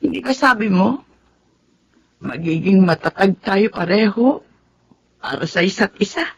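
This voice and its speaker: An actress playing an ailing, grief-stricken mother in a radio drama, speaking haltingly and emotionally. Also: medium pitch, lively intonation, creaky, a little hiss or hum. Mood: gentle, hesitant. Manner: halting, emotional, stammering delivery. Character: ailing, grief-stricken mother breaking hard news to her child. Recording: dull lo-fi radio drama with background music.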